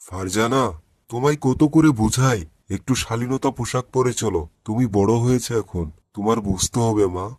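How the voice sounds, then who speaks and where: A man plays a worried, devout father of middle age in a cartoon. His voice sits at an ordinary male pitch, coaxing and admonishing with patience. The recording has a dry, close sound. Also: normal speed, dry recording, natural voice. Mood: gently admonishing. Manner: gentle pleading, admonishing. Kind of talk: cartoon dialogue acting.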